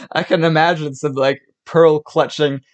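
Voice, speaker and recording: A man's voice: a little high, fairly even intonation, a little slow, lo-fi sound. A young man from Switzerland reacting to videos on a live stream, talking in rambling bursts with restarts and laughs. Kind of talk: casual reaction commentary.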